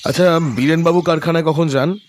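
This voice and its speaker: A man playing a calm, shrewd detective in an audio drama, measured and musing as he quietly probes. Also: on the high side, a little hiss or hum, lightly acted. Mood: casual probing. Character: calm, shrewd male detective, probing and thoughtful.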